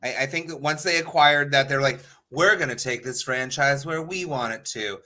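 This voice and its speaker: Bright, forceful-voiced man chatting on a podcast. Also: mid-range voice, moderate pace. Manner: blunt, casually profane. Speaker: podcast panelist. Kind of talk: casual opinionated panel chat.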